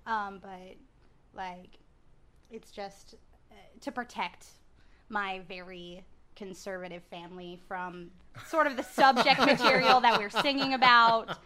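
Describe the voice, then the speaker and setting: Bright, giggly young woman guest. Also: medium pitch. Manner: giggly, halting with fillers. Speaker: guest musician. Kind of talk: casual podcast chat.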